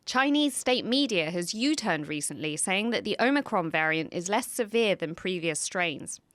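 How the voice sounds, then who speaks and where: A woman reporter narrating a scripted news report in a measured, serious voice-over. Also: lowish voice, expressive, fairly bright tone, no echo.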